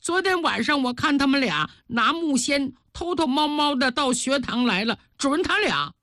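A woman's voice: high-pitched, animated, normal speed, a bit bright, no room sound, clean studio sound. A woman storyteller voicing an outspoken young schoolchild who insistently tells on someone. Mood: accusing. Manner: insistent, tattling.

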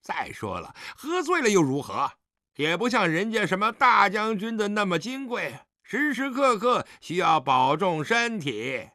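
A man's voice: mid-range voice, huge pitch swings, a little slow, pushed and bright, no room sound, studio quality, heavily acted. A man acting a drunk, defiant grown son who taunts his father insolently in an audiobook drama. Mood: bitter, sarcastic. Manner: insolent, taunting, slightly stumbling speech.

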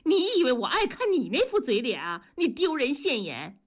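A woman voicing a jealous, sharp-tongued wife in an audiobook, sneering and needling with scornful questions. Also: sits high, very animated, moderate pace, voice pushed up. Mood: furious. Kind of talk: audiobook character dialogue.

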